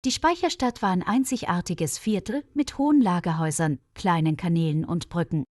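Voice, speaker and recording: A woman reading a scripted audio story for language learners in a measured, clearly phrased storytelling manner. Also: on the low side, darkish tone, no room sound, clean studio sound. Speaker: narrator of German-learning stories.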